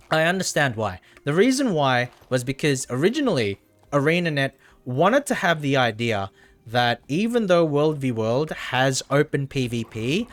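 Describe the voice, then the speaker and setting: A man casually commentating on a game as he livestreams, rambling and often repeating his phrases. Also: a little high. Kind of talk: casual gameplay commentary and chat.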